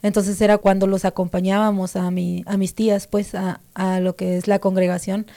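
Mellow-voiced woman telling her story. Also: mid-range voice, little pitch movement, moderate pace, gravelly. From Mexico. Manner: hesitant, many fillers and restarts, mellow. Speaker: interview guest. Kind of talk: personal faith testimony.

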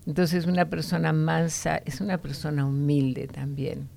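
Low, gravelly woman teaching the Bible. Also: level tone, dark tone. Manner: explanatory, often ending points with a questioning "no?"; unhurried, with frequent hesitation fillers.

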